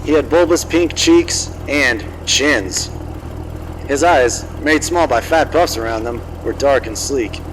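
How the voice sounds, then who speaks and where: An actor playing a cocky, wisecracking old-time private detective in a noir radio drama, wry and swaggering. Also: male voice, mid-range voice, takes it slowly, a bit bright, slight background noise, rough recording. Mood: hard-boiled narrating. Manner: smooth-talking, wry, swaggering. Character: cocky, wisecracking 1940s hard-boiled male private detective.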